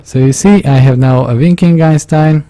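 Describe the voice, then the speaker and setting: A man from Hungary with a Hungarian accent, narrating a drawing tutorial in a casual, halting way, searching for words and correcting himself. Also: on the high side, warm tone.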